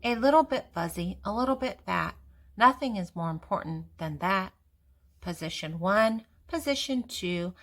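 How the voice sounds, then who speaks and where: An older woman reading a picture book aloud to children, expressive and deliberate, with emphasis on key words. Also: mid-range voice. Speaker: children's story reader and channel host.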